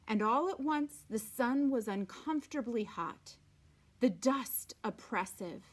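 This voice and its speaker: A woman reading a storybook aloud with deliberate, dramatic phrasing and informal asides, sometimes dropping to a hush. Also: fairly high pitch. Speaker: read-aloud reader.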